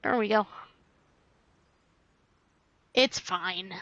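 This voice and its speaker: A woman chatting casually and haltingly as she hosts a video game livestream. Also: mid-range voice, a bit bright, no room sound, highs cut off.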